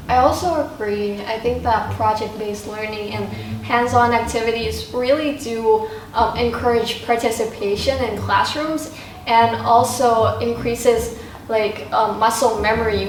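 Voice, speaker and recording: A woman's voice: a little high, measured pace, slight background noise. A teenage female student, a native Thai speaker, talking about school in a semi-prepared discussion. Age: teenager, high school student. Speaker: student panelist. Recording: echoey room, distant mic, music behind.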